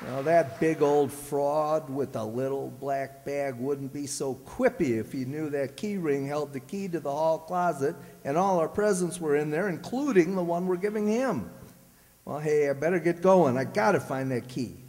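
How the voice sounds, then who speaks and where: An actor playing a grumbling, blustery, wisecracking husband with folksy, slangy exasperation in an old-time radio comedy. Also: male voice, fairly high pitch, normal speed, darkish tone, lightly acted. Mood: blustering, resolved. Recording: echoey hall stage recording.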